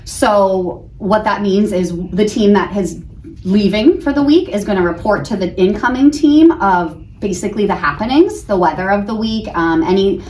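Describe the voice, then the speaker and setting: A woman's voice: mid-range voice, darkish tone. Echoey, chatty American woman teacher. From New Hampshire, United States. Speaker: elementary school teacher being interviewed. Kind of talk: casual interview answers. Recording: roomy, distant mic, faint background noise.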